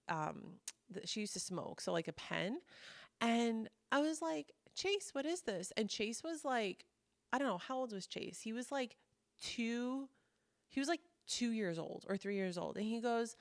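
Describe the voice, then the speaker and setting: A woman in her thirties telling personal stories in a chatty, casual monologue, full of fillers and animated quoting of others. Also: medium pitch, no room sound, clean studio sound. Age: 30s. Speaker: podcast host and coach.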